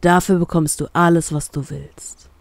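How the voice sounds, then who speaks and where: A woman's voice: deep voice, steady tone, moderate pace, vocal fry, no echo, voice pushed down. A woman voicing a sly, domineering male pirate with a teasing, commanding way of talking.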